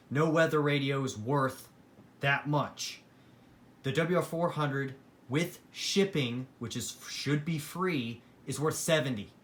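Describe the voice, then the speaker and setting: A man giving a casual, rambling product review in a matter-of-fact, opinionated way, as a hobbyist showing a collection. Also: medium pitch. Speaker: hobbyist collector presenting weather radios.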